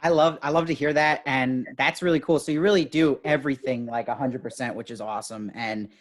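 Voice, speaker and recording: Male interview host, eager and enthusiastic, video call. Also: medium pitch. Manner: upbeat, enthusiastic, quick with praise. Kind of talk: casual interview chat.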